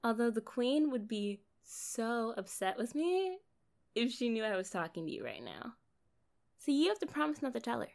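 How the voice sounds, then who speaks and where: Casting: woman to play sweet, naive mermaid for ASMR roleplay. High voice, swooping intonation, unhurried, playful, chatty delivery. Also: creaky. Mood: curious, cautious. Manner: playful, chatty, expressive, drawn-out phrasing. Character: kind, bubbly, naive young female mermaid. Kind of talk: ASMR roleplay addressed to the listener.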